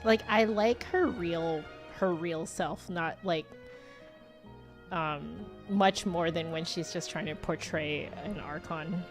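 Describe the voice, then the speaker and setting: A woman's voice: on the low side, slow. A woman giving casual live game commentary as a streamer, chatty and rambling. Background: game music.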